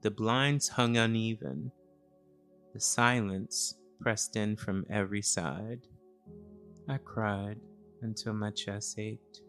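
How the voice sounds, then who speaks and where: Slow, reflective man narrating a memoir. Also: fairly low pitch, steady tone, a bit bright, soft background music. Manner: solemn, reflective, measured. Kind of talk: scripted first-person memoir narration, cinematic storytelling.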